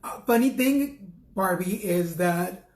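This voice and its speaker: A man co-hosting a casual talk show, laid-back and halting, often restarting his sentences. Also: sits high, lively intonation, reverberant. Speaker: co-host. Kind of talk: casual chat.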